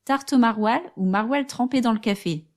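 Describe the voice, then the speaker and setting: A woman's voice: fairly high pitch, brisk, soft background music. A woman hosting a book podcast, asking prepared interview questions and reading a book summary. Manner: steady, reads prepared questions. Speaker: podcast host.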